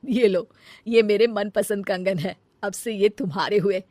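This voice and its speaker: A woman's voice: medium pitch, unhurried, darkish tone, dry recording, natural voice. A woman voicing a generous visiting aunt in a family comedy cartoon, effusive and approving. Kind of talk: acted Hindi family comedy story.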